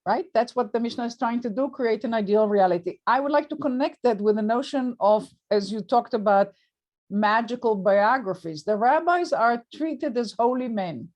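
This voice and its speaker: A woman's voice: on the high side, expressive, moderate pace, a little mellow, lo-fi sound. A woman moderating an academic discussion, explaining ideas off the cuff and checking in as she goes.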